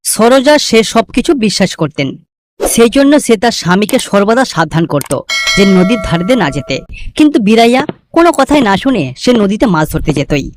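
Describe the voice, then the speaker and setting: A woman's voice: mid-range voice, very animated, fairly quick, noisy background. A woman narrating a scripted cartoon fairy tale as the storyteller.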